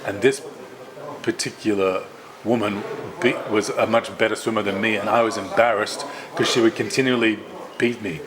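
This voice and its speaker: A man interpreting a signed interview aloud, voicing both the interviewer and the guest in careful, measured phrasing with small restarts. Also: fairly low pitch, fairly even intonation, a crowd in the background, rough recording. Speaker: sign language interpreter voicing both sides.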